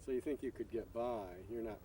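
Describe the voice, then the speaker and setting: Distant male interviewer over clear noise. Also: medium pitch, fairly quick, warm tone. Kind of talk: conversational documentary questioning. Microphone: away from the camera microphone. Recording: distant off-mic voice, noisy field video.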